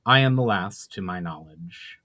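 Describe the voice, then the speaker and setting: A man voicing an earnest, courteous male elf with formal, measured speech in a storybook reading. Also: low voice, animated, bright, forceful tone, voice pushed down. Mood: solemn.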